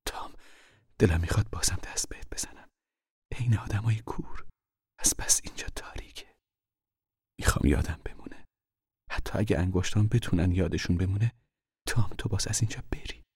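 A man voicing an older mother who fears for her fugitive son, speaking in a hushed, anxious whisper. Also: on the low side, creaky, breathy edge, no room sound, studio quality, full character voice. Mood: pleading whisper. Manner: anxious, pleading, protective.

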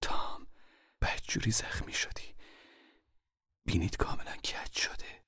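A man voicing an older mother who fears for her fugitive son, speaking in a hushed, anxious whisper. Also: fairly low pitch, creaky, slightly rough, no echo, studio quality, exaggerated voice. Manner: anxious, pleading, protective.

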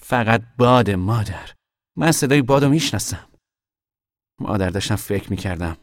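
An actor playing a young man in hiding, talking to his mother in an earnest, quietly stubborn way. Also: on the low side, animated, no room sound, clean studio sound, natural voice. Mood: reflective. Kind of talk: audio drama acting.

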